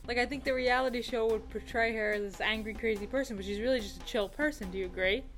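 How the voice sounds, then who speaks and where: A woman's voice: a little high, fast, fairly bright tone, soft background music. A woman hosting a casual podcast, rambling with hesitations and laughter. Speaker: podcast host. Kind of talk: casual chat.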